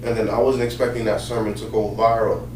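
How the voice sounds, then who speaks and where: Low-voiced New man, roomy and distant. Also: brisk. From Haiti. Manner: relaxed storytelling with many fillers. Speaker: podcast host and pastor. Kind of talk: casual chat. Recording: roomy sound from a distant mic with faint noise.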